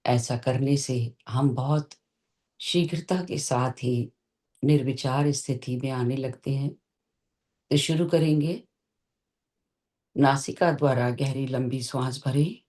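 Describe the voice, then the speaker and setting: Very slow, gentle meditation guide. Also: medium pitch. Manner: slow, deliberate, with long pauses between short phrases. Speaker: meditation teacher leading a session.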